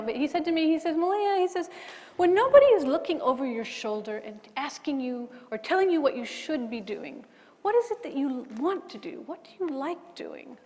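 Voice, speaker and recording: A middle-aged woman with a slightly high voice and an accent that is part American, part British. She tells her story in a candid, self-deprecating way and often restarts her sentences. Her voice carries a long reverb from a large lecture hall. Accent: mixed American and British. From Hawaii. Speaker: bioethicist panelist. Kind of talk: personal story on a forum panel.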